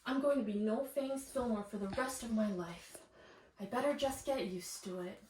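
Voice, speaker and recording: A woman's voice: a little high, normal speed, darkish tone, close to an everyday voice. A woman reading the part of a dejected young vampire boy in a storybook, plaintive and questioning. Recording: echoey room, distant mic, faint noise.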